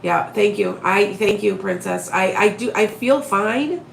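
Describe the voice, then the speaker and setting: A woman with a raspy voice and a head cold, chatting to her viewers on a live stream in a rambling way, often starting her sentences over. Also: medium pitch, unhurried, reverberant, slight background noise. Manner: rambling, restarts often, talks straight to viewers. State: head cold, sniffly and short-winded. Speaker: psychic medium live-streaming to followers. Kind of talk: casual live-stream chat.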